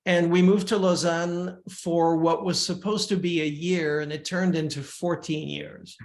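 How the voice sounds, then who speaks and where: An older man from Uruguay, a musician guest, talking in a measured, reflective way with frequent restarts. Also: on the high side, little pitch movement. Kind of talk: casual interview talk. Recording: echoey room.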